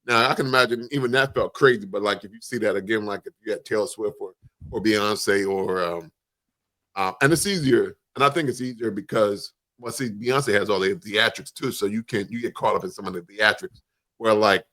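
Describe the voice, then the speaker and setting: A man's voice: on the low side, a little fast, sharp tone. A man co-hosting a podcast, chatting casually in informal, slangy language with some swearing. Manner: informal slangy speech with profanity. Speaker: podcast co-host. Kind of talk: casual chat.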